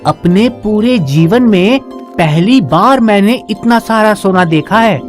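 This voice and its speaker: A woman's voice: mid-range voice, lively intonation, measured pace, faint music behind, close to an everyday voice. A woman voicing a humble, kind young village farmer man in a cartoon story, earnest and respectful. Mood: excited.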